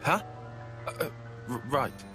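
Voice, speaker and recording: A man's voice: slow, music in the background, lightly acted. A man voicing an earnest, prickly young military strategist in a video game. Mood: flustered. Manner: stammering when flustered.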